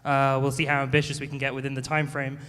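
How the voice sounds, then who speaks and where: A man giving a relaxed, informal startup pitch with many ums and uhs. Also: fast, smooth. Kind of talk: casual pitch. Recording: long hall reverb.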